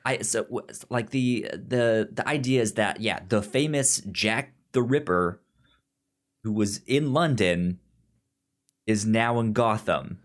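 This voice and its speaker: Man with a halting, stammering delivery. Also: on the low side. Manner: halting, repeats sounds and words, stutters on words. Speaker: podcast co-host. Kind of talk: casual discussion of comics and film.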